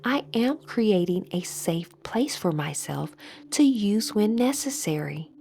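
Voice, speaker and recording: A woman leading a scripted guided meditation as an instructor, soothing and measured, with phrases that trail into breathiness. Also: medium pitch, very animated, gravelly, mellow.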